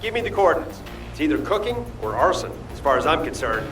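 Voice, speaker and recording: An actor playing an eager, easygoing young fighter who loves cooking in a video game, casual and playful in manner. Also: male voice, a little high, very animated, quick pace, over music. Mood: cocky, playful.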